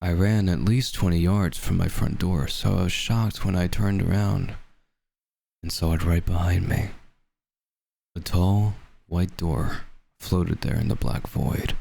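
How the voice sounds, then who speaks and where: A man narrating a scripted horror story in the first person, with a measured, ominous, dramatic delivery. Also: sits low, steady tone, creaky. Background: dark background music.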